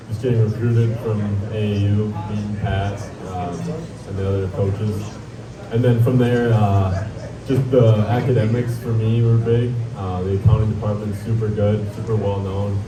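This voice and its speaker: A young man in his early twenties answers an emcee's questions, relaxed and filler-heavy. His voice sits low. The sound is echoey and distant, with a crowd around. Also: measured pace. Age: early 20s. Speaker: college basketball player. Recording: echoey hall from afar with crowd.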